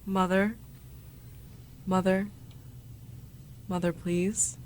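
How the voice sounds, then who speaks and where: A woman's voice: mid-range voice, flat delivery, very slow, a little hiss or hum. A young woman playing a caring, anxious daughter in a radio drama. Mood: concerned, consoling. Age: young adult.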